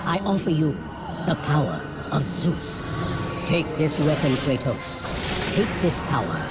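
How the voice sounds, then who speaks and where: A man's voice: on the high side, big pitch swings, a little slow, over loud music. Grand, mighty king of gods. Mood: solemn, grand. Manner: grand, declamatory, solemn. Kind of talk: dramatic video game acting.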